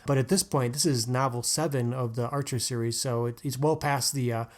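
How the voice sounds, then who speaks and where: A man's voice: mid-range voice, steady tone, a little fast. Chatty man co-hosting a book podcast. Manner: conversational, with frequent "like" and "uh". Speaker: podcast co-host. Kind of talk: casual book discussion.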